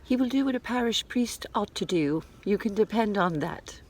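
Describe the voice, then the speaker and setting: A woman playing a consoling, opinionated vicar's wife in a dramatized novel, husky and firm. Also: medium pitch, animated, moderate pace, slight background noise, lightly acted. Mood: assured.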